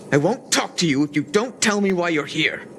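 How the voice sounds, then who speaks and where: A man's voice: high voice, lively intonation, a little slow, a bit bright, slight background noise, heavily acted. A man playing a shifty, defensive space station worker in a game, suspicious and grumbling as he talks. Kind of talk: scripted game dialogue. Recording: music playing behind the voice.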